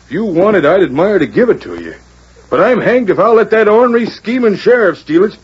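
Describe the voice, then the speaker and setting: Casting: gruff, protective rancher, husky voice, folksy frontier talk, muffled old radio Western. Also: male voice, high-pitched, sing-song, fairly quick, heavily acted. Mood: indignant. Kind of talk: 1940s Western radio acting. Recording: muffled, worn 1940s radio recording.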